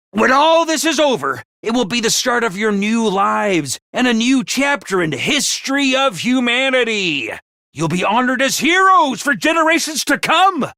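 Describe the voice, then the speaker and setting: A high, bouncy game commander, cheerful but ruthless, bright sharp tone. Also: male voice, dry recording, studio quality, full character voice. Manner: bouncy, drill-sergeant cheer over cold pragmatism. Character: chipper commanding officer of child soldiers, cheerfully ruthless. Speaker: voice actor for a video game character. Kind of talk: game character voice lines.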